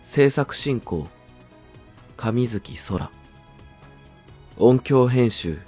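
Low guy narrator, super slow scripted credits read, music behind. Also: expressive, dark tone, breathy edge.

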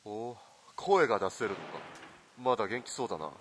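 An actor playing a cruel, abusive army soldier in a radio drama, menacing and domineering, with rough old-fashioned military speech. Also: male voice, lowish voice, animated, brisk, a bit bright, a little hiss or hum. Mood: cold menace.

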